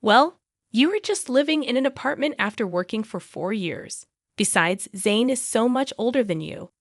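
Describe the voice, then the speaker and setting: A woman's voice: a little high, animated, moderate pace, no room sound, clean studio sound. A woman voicing a scornful, suspicious mother-in-law in a narrated family drama, accusing and condescending.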